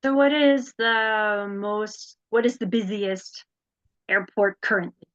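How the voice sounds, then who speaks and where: A woman's voice: medium pitch, fairly even intonation, takes it slowly, dull audio. A woman interviewing in a conversational, curious and attentive way.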